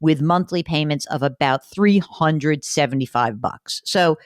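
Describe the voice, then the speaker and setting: A woman's voice: low-pitched, measured pace, no room sound, studio quality. A woman hosting a personal finance show, talking in a chatty, emphatic way. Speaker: money show host. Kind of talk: conversational radio podcast talk.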